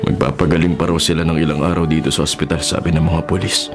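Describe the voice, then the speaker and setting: An actor playing an earnest Filipino forest ranger in peril in a radio drama, speaking emphatically. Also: male voice, rumbling low, level tone, fast. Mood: relieved, solemn. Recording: dramatic music under voice.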